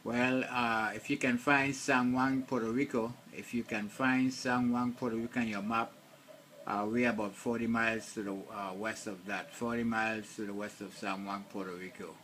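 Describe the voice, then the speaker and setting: A man's voice: fairly low pitch, steady tone, normal speed, fairly bright tone. A man chatting casually as an amateur radio operator, using procedural radio phrasing and repeating key details. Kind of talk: casual ham radio contact. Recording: faint noise over radio link.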